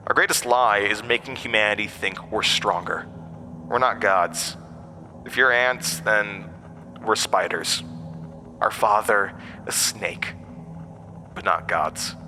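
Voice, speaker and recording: An actor playing an ancient monster, a persuasive, scornful tempter who speaks with theatrical, deliberate emphasis in a horror audio drama. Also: male voice, on the low side, sharp tone, a little hiss or hum, heavily acted. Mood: scornful, grand.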